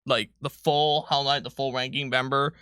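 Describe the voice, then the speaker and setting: A man's voice: mid-range voice, level tone, moderate pace, bright, forceful tone, smooth, dry recording, clean studio sound. A man chatting casually as a livestream co-host, thinking aloud as he explains tabletop game rules and works through numbers. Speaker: game designer co-hosting a livestream.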